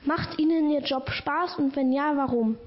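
A woman's voice: sits high, normal speed. A teenage girl, a youth podcast reporter, politely reading prepared interview questions. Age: teens. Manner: polite, carefully read questions. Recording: dull lo-fi sound.

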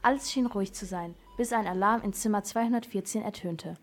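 A woman's voice: mid-range voice, fairly quick, faint music behind. A young girl reading scripted narration for an amateur radio crime play. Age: child or teenager.

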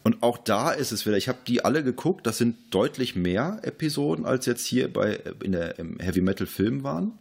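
A man's voice: mid-range voice, lively intonation, brisk, creaky, hardly any pauses, breathy edge. A man casually discussing films as a podcast host.

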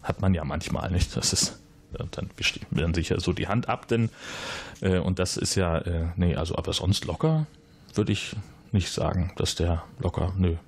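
A man's voice: animated, moderate pace, husky, exaggerated voice. A man playing a nervous, evasive base resident who rambles with many hesitations and fillers in an audio drama. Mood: flustered. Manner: rambling, hesitant, many fillers, jumps up in pitch when flustered.

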